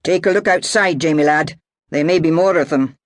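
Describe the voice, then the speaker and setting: A narrator voicing a fierce young Scottish swordsman who speaks loudly and threateningly. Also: mid-range voice, moderate pace, dry recording, studio quality, lightly acted. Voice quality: loud, snarling, threatening.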